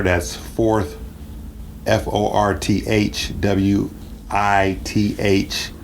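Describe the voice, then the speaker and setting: A man hosting a casual radio interview in a halting, deliberate, appreciative manner, sometimes repeating words. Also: sits low, darkish tone, a little hiss or hum. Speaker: radio show host.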